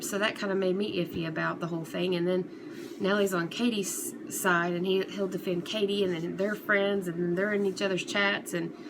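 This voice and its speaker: A woman giving casual, unscripted opinion commentary as a vlogger, talking in a hesitant, rambling, conversational way. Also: mid-range voice. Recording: clearly noisy background ambience.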